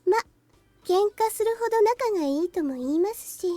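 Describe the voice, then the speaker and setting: A cute teenage anime schoolgirl with an extremely high, lively voice. She is polite and teasing, speaking quickly in a visual novel style. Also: soft background music. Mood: cheerful. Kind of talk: Japanese visual novel voice acting.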